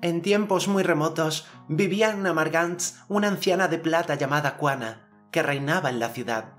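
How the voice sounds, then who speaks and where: A man voicing a confident, generous boy hero telling tales in an audiobook, in a measured manner. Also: fairly high pitch, a bit bright, faint music behind, pitched above the performer's own voice, lightly acted. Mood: solemn storytelling. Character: generous, self-assured boy storyteller hero, inventing tales. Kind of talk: audiobook character narration.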